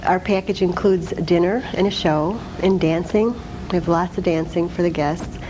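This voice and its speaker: A woman's voice: fairly low pitch, fairly even intonation, normal speed, highs cut off, noisy background. A woman guest on a radio talk show, friendly and promotional, talking about her dinner club and laughing easily. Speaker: singer and nightclub owner, guest. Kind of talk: casual radio interview.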